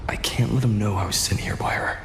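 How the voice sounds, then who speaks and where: An actor playing a calm, guarded young male student in a video game, speaking deliberately and tactfully. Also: fairly low pitch, reverberant, in a whisper, lightly acted.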